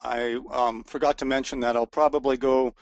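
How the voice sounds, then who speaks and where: A man's voice: mid-range voice, little pitch movement, normal speed, dry recording, highs cut off. A man co-owning a resort presents a webinar in a hesitant, informal way, with many fillers and restarts, his voice wearing out.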